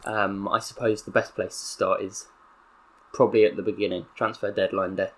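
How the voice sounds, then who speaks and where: Young man, Southern English accent, lowish voice, mock-serious statement. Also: normal speed, slight background noise, rough recording. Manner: mock-solemn with bursts of excitable commentary. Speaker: gaming YouTuber. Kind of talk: role-playing a footballer's press statement.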